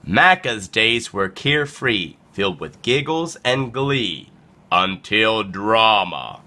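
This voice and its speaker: A man's voice: fairly low pitch, sing-song, takes it slowly, bright, forceful tone. A man reading a children's picture book aloud in a playful, dramatic storytelling style.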